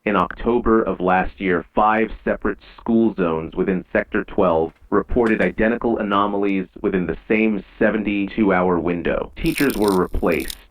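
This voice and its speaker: Man playing a very deep, ominous radio announcer. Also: close to an everyday voice. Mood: official, grave. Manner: measured, solemn, ominous. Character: grave male emergency radio announcer of an eerie dystopia. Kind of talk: scripted analog horror broadcast narration. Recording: dull, lo-fi old radio sound.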